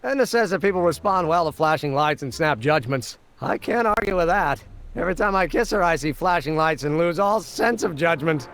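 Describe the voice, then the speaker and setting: A man's voice: fairly high pitch, expressive, fairly quick, fairly bright tone. An actor playing an eager, earnest young male inventor who explains things in wordy, old-fashioned terms in a video game. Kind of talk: animated video game dialogue.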